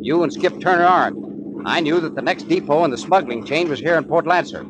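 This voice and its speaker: Man acting a take-charge army major in an old radio serial, highish voice, heavy noise. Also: a little fast, close to an everyday voice. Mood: explaining. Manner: clipped, matter-of-fact, commanding. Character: decisive, authoritative male Army major undercover. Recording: noisy old 1940s radio recording.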